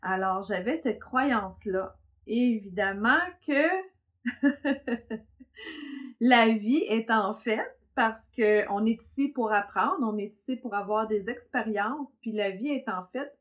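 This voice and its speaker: A woman's voice: on the high side, slow pace. A middle-aged woman with a Québécois French accent, telling her personal story as host in a hesitant way full of fillers. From Quebec, south shore of Montreal. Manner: hesitant, many fillers, laughs often. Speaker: podcast host, therapist.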